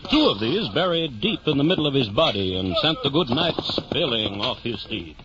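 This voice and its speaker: A man's voice: mid-range voice, big pitch swings, moderate pace, background noise, lightly acted. A man narrating a 1950s radio drama in a formal, theatrical storytelling style. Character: storybook narrator of a knight's adventures. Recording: dull old-time radio recording. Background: music.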